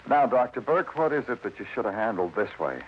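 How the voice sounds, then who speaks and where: A man's voice: mid-range voice, sing-song, fairly quick, a little hiss or hum, natural voice. An American actor playing a hard-boiled, dryly sardonic male New York police detective in a vintage noir radio drama. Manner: dry, sardonic, clipped questioning. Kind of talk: 1940s-50s noir radio acting and narration. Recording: muffled vintage radio recording.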